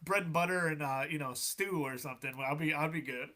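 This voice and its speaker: A man in his thirties, a guest on a podcast, chatting in a relaxed way with frequent laughs and fillers. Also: a little high, brisk. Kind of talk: casual chat.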